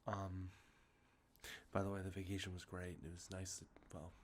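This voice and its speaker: A man with a congested, sniffly nose, talking softly and rambling, with many fillers, as an ASMR host showing off games he collected. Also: deep voice, level tone, normal speed, a little hiss or hum. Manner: rambling, many ums and uhs, self-correcting. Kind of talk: soft-spoken show-and-tell about collected video games. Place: outdoors with traffic nearby.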